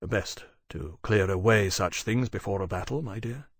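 A man voicing a weary, restrained gentleman officer with formal, courteous manners in an audiobook. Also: on the low side, dry recording, clean studio sound. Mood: resigned. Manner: formal, measured, reserved.

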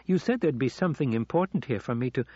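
Guy doing a whiny spoiled teen noble for an audiobook, pitched up, dry sound. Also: lively intonation, fast talker, a little mellow, husky, dry recording, highs cut off. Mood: petulant. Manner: higher, complaining delivery. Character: impatient, whiny, spoiled teenage noble nephew.

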